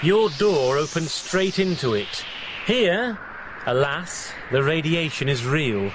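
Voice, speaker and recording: An actor playing a cold, calculating experimenter in a radio drama, with a smug, formal and precise way of talking. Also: male voice, fairly high pitch, expressive, takes it slowly, noisy background. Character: cold, calculating male experimenter who toys with people.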